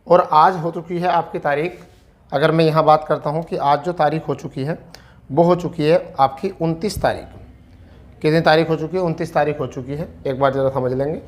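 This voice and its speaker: Higher-pitched man explaining to students. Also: steady tone, moderate pace. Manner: repeats key phrases for emphasis. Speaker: exam coaching teacher giving recruitment updates.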